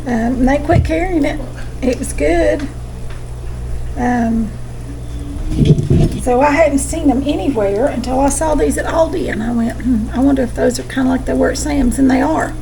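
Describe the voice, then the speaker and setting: Middle-aged woman, Southern American, home cooking host, chatty, high register, noisy kitchen recording. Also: moderate pace, creaky. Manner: folksy, chatty. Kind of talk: casual cooking demonstration chat.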